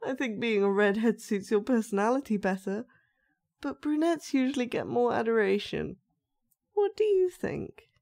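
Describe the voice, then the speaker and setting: A woman playing a sinister, soft-spoken dollmaker with magic powers in a roleplay monologue, coaxing and condescendingly gentle as she addresses the listener. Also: on the high side, big pitch swings, normal speed, gravelly. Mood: sweetly eager.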